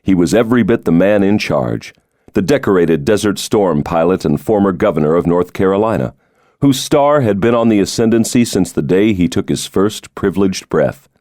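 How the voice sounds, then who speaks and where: A man narrates a suspense novel in a low voice. His pitch moves widely as he reads the scripted prose, and the recording is dry and close, with no room sound. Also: lively intonation, moderate pace, a little mellow, no echo. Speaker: audiobook narrator.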